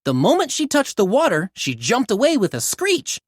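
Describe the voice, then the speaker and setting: Very animated woman reading a children's story. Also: on the high side, normal speed, husky, dry recording, studio quality. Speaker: storybook reader. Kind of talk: animated children's read-aloud narration.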